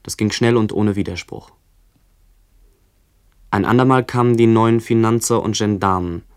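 A man acts a narrator reliving his country boyhood at the end of a war. The voice is fairly low and the delivery deliberate and descriptive, in the manner of a literary radio play. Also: fairly even intonation, smooth, lightly acted. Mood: grave, remembering.

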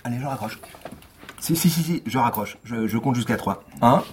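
An actor playing a wry, world-weary police lieutenant in a radio drama, deadpan and ironic. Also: male voice, medium pitch, moderate pace, a little hiss or hum, close to an everyday voice. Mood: exasperated, dry. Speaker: narrator and lead character. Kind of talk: scripted radio fiction acting.

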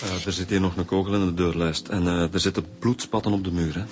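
A man's voice: low voice, steady tone, fast, noisy background, natural voice. A man with a Flemish accent playing a weary, plain-spoken police detective in a radio drama. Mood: matter-of-fact. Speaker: actor in a radio crime serial.

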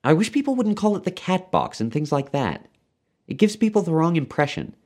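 Man putting on a prim, high-pitched woman clerk. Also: very animated, fairly quick, darkish tone. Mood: prim reproving. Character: prim, earnest woman clerk, mildly reproving.

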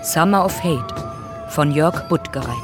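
A man's voice: a little high, a little slow. A man with pronunciation making formal, sober scripted announcements for a radio play. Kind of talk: scripted announcement, credits reading. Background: music.

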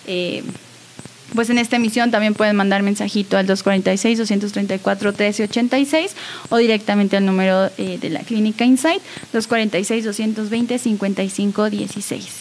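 A woman's voice: medium pitch, slight background noise. A woman hosting a radio show in an earnest, encouraging, conversational way, with small giggly asides. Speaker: radio host. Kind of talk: warm conversational radio sign-off.

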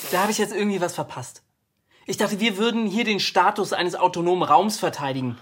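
A woman playing a stubborn, confrontational radical in a radio play, blunt and husky in her delivery. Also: on the low side, a little fast, crowd noise, lightly acted. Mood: combative, scornful. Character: stubborn, combative radical squatter, scornful of compromise.

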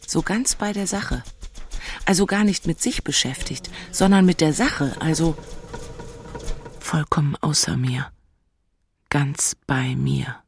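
A woman's voice: deep voice, slow, long pauses, slight background noise. An actress playing an agitated, self-reproaching woman in her forties in a radio drama inner monologue. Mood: brooding, exasperated. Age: 40s. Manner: brooding, exasperated.

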